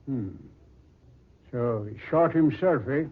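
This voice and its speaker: An actor playing a brash, slangy, excitable policeman in old-time radio drama style. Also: mid-range voice, slow pace. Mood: skeptical mocking. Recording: thin, phone-like vintage radio recording.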